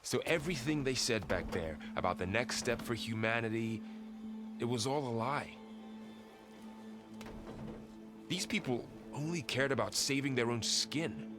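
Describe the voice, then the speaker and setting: A man playing a gruff, skeptical travelling companion in a video game, plainspoken and wry. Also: mid-range voice, expressive, normal speed, close to an everyday voice. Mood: disillusioned. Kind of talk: video game character dialogue. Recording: loud game music behind.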